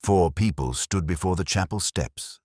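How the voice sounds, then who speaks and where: A man playing a world-weary older detective inspector, narrating a mystery audio drama in a measured, literary, brooding manner. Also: low voice, darkish tone, no echo, studio quality.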